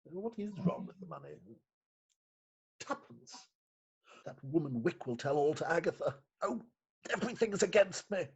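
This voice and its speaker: An actor playing an anxious, self-pitying, pompous magistrate in a halting, theatrical reading of a stage farce. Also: male voice, on the high side, sing-song, husky, full character voice. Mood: flustered despair. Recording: dull remote call audio.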